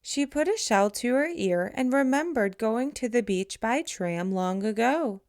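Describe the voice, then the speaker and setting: A woman with a fairly high voice reads a children's storybook aloud. She goes very slowly and deliberately, giving the story expressive shape for young listeners. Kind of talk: children's picture book read-aloud.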